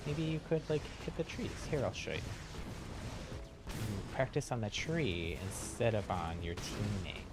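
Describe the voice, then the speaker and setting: A man's voice: fairly low pitch, measured pace, very noisy. A man chatting casually on a game livestream in a rambling, easygoing way. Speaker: live streamer.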